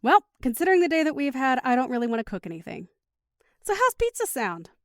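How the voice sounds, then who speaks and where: A woman's voice: high-pitched, sing-song, fast, no room sound, clean studio sound. A woman playing a caring, upbeat middle-aged mother in an audio drama, speaking in a chatty, reassuring way. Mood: cheerful. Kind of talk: naturalistic audio drama acting.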